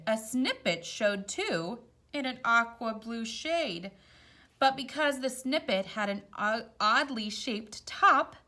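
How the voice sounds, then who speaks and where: Animated woman reading a children's storybook. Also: on the high side. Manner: expressive, explanatory, addressing young children. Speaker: storytime reader. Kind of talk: animated children's picture-book read-aloud with casual asides.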